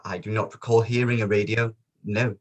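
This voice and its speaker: A man reading the part of a sombre witness at a disaster inquiry in a play table read, in a measured, plain manner. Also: fairly low pitch, level tone, a little mellow, natural voice. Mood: guarded.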